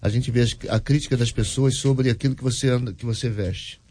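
A man, a pastor, chatting casually as a guest on a talk show with a relaxed, chuckling manner. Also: mid-range voice, brisk, no echo.

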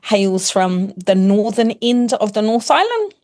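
A woman's voice: mid-range voice, sing-song, a little slow, a little mellow. Woman explaining, New Zealand accent. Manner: thoughtful, teacherly, explains with examples and restarts. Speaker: guest, primary school teacher. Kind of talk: casual explanatory chat, mixing Māori and English.

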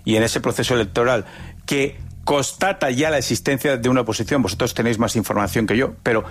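A man's voice: medium pitch, quick pace. A man giving emphatic football analysis on a talk show, repeating phrases for rhetorical effect. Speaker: sports pundit on a panel.

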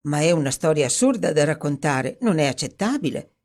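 A woman reader plays a cautious, easily worried young man in an audiobook. He speaks in a mellow, low-set voice with a sententious, pompous manner. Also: animated, brisk. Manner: pontificating, sententious. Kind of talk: audiobook character acting.

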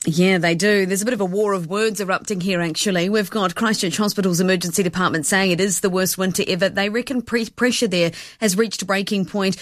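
A woman, giving a brisk regional news report on radio. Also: fairly low pitch, no echo, studio quality.

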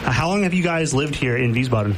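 A man's voice: medium pitch, expressive, quick pace, background noise. A man hosting a casual radio interview with students, easygoing and chatty with lots of fillers. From Mexico. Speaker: radio host.

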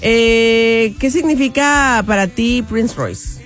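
A woman's voice: fairly high pitch, slow. Woman radio host, bubbly and affectionate, laughs a lot, faint music under the talk. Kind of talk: lively radio interview.

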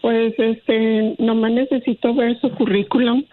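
A woman's voice: on the high side, flat delivery, measured pace, phone-quality sound. A woman chatting casually as a radio show guest, blunt and choosy in how she talks. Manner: picky, blunt. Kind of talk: casual chat.

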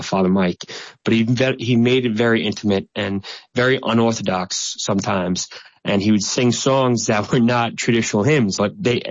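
A man recalling family memories in an interview, pausing often with "uh". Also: on the low side, no room sound, lo-fi sound. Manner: conversational with frequent "uh" pauses. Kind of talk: casual interview recollection.

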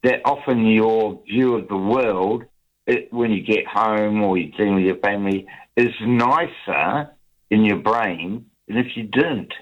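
A man's voice: fairly low pitch, unhurried. An older man calling a radio show, earnest and eager to please, repeating himself. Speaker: caller. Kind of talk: casual phone-in chat. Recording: dull phone line.

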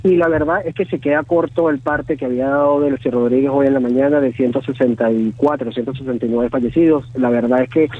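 A man's voice: medium pitch, fairly even intonation, dry recording. Venezuelan man on a tinny phone line. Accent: Venezuelan Spanish. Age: 30s. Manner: halting, many fillers and restarts. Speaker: phone-in guest. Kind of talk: phone interview.

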